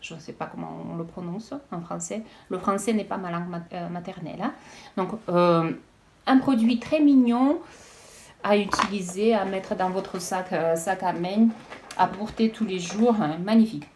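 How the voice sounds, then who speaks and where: A woman chatting casually as she unboxes beauty products, hesitant and halting with frequent restarts. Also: on the low side, a little mellow, a little hiss or hum. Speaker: beauty vlogger.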